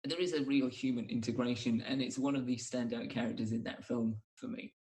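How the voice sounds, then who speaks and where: A man's voice: mid-range voice, steady tone, brisk, a little mellow, poor audio. Man hosting a casual, reflective discussion. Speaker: podcast host.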